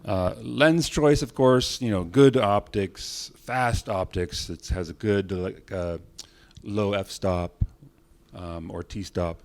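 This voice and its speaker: A man's voice: on the low side, extremely slow. A man giving an informal conference talk on technique as a presenter, speaking in short phrases. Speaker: cinematography presenter.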